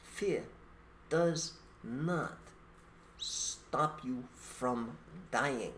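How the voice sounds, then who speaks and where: A man's voice: medium pitch, very slow, a bit bright, slight background noise. A man co-hosting a podcast, talking in a blunt, emphatic way and making rhetorical points in an opinionated conversational monologue. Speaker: podcast co-host.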